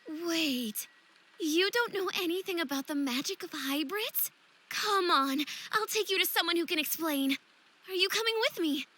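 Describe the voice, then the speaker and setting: A woman's voice: very high voice, expressive, normal speed, bright, forceful tone, full character voice. An actress playing a young fairy woman in a visual novel game, proud and sensitive with a playful streak, speaking very expressively. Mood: friendly, eager. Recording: background noise and ambience.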